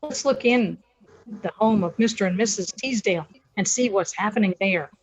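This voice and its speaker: A woman's voice: mid-range voice, normal speed, breathy edge, rough recording. An older woman announcing a radio play in scripted, old-time serial narration style.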